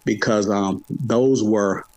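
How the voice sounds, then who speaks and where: A man's voice: fairly low pitch, fairly even intonation, slow pace, darkish tone, no echo. A middle-aged man chatting casually in an interview, repeating phrases for emphasis. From Atlanta, United States. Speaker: podcast guest, hip hop podcaster.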